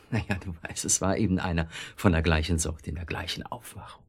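An uneasy, sceptical husband in a naturalistic radio drama. His voice is on the low side, husky and full of creak. He comes across as impatient and dismissive. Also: fairly even intonation, fairly quick. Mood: hesitant recollection. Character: sceptical, uneasy married city man on holiday.

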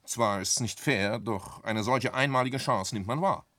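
An actor playing a calculating, greedy businessman in a classic radio play, speaking haltingly with fillers. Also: medium pitch, sharp tone, dry recording. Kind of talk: classic radio drama acting.

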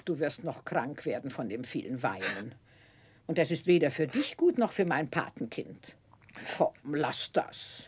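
Husky, brusque, imperious aunt. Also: female voice, sits low, very animated, measured pace, full character voice. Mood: stern inquiry. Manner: gruff, curt, snapping. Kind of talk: 1960s German radio drama acting.

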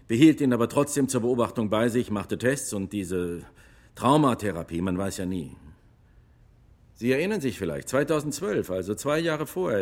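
An actor playing a retired army lieutenant testifying in a radio drama courtroom, husky and matter-of-fact. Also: male voice, mid-range voice.

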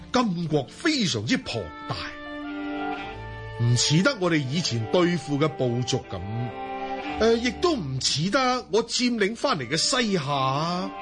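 An actor playing a proud middle-aged Mongol ruler in a Cantonese radio drama, stately and emphatic. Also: male voice, high-pitched. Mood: wistful, tender.

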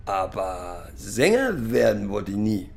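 Gravelly, slow-speaking wistful father. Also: fairly low pitch, sing-song, full character voice. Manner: halting, repetitive, bursting into loud exclamations. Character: wistful father, a reluctant would-be singer reminiscing. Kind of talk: theatrical radio drama monologue.

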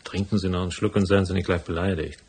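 A man playing a hard-boiled private detective in a noir radio drama, with a gravelly, husky voice and a dry, laconic delivery. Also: deep voice, level tone, fast, darkish tone. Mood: sceptical, pressing. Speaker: first-person narrator and lead character.

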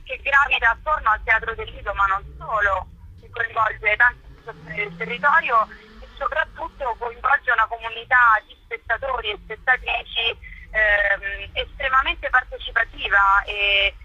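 A woman's voice: on the high side, moderate pace, pushed and bright, husky, no echo, highs cut off. A woman giving chatty, enthusiastic, explanatory answers as a guest in a spontaneous radio interview. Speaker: interview guest, festival curator and stand-up performer.

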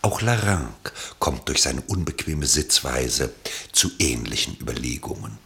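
A man with a husky, gravelly voice narrating a literary radio play from a script. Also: extremely low pitch, animated, unhurried, sharp tone, a little hiss or hum.

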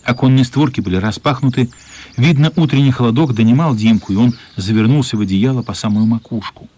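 A man with a mid-pitched, somewhat husky voice reads scripted literary narration. He serves as the storyteller of a radio drama. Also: fast, mellow.

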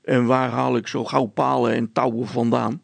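A man's voice: mid-range voice, little pitch movement, moderate pace, gravelly, husky, dry recording. A man voicing a plodding, sceptical village policeman in a story, plain-spoken, mocking and grumbling. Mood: grumbling, reluctant.

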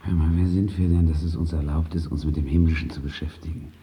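A man's voice: rumbling low, fairly even intonation, fast talker, mellow, a little hiss or hum. An actor in a radio drama playing a battered, suffering man of stubborn faith who argues dryly. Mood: dry, challenging.

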